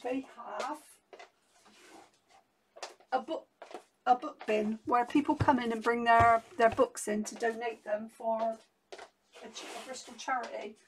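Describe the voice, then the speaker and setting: A middle-aged woman, hoarse from losing her voice, chatting casually and rambling through a craft haul at her desk at home. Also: fairly high pitch, steady tone, measured pace, pauses often, noisy background. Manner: rambling and chatty, many restarts. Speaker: crafting vlogger.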